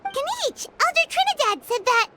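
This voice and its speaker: A woman voicing a tiny, cheeky, excitable fairy companion in a video game, squeaky and childlike. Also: moderate pace, faint music behind, exaggerated voice. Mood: chirpy. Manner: childlike, refers to self by name. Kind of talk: scripted video game dialogue.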